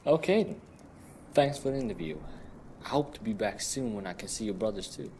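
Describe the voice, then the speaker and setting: Man playing courteous interviewer, soft dark timbre, amateur audio drama, faint recording noise. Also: fairly low pitch, animated, fairly quick, gravelly. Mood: polite. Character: polite male interviewer questioning a young woman. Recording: noisy amateur home recording.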